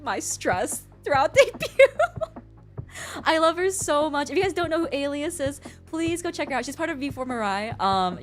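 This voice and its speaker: A young woman with an American accent and Mandarin as her first language, chatting casually as a livestreamer in a giggly, talkative way. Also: high voice. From born in China, raised in the US from about age six. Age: young adult. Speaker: streamer.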